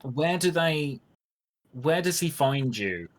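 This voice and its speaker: A male game master runs a roleplay session in a loose, amused way. His pitch moves very freely, rising and falling as he puts questions to the players. The delivery is conversational and unscripted. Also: lively intonation, slow. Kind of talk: running a tabletop roleplay game, casual banter.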